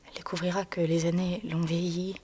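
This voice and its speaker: An actress delivering a theatrical monologue in a hushed voice that often drops to a whisper, pausing as she tells a story. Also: on the low side, little pitch movement, slight background noise.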